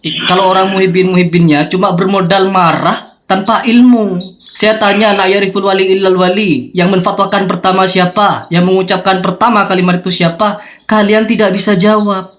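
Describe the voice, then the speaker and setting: A man's voice: sits high, little pitch movement, moderate pace, a bit bright. A man in a heated religious debate, confrontational and insistently questioning as he challenges his opponents.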